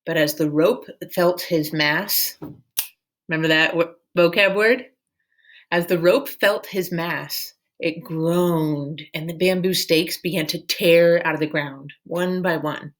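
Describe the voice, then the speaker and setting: A woman reading a children's novel aloud as a storyteller, in a measured, deliberate way. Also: lowish voice.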